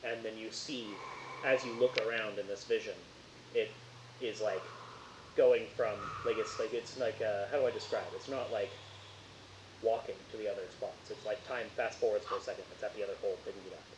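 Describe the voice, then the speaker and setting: A man improvising narration as a tabletop horror game master, casual and halting as he searches for words. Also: on the low side, fairly even intonation. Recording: roomy, dull, hissy home recording.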